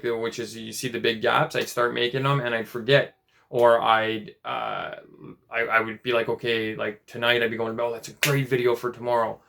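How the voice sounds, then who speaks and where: A man in his late twenties talking in a casual personal vlog, rambling and halting, with many restarts and fillers. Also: mid-range voice, vocal fry, fairly bright tone. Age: 20s. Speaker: vlogger.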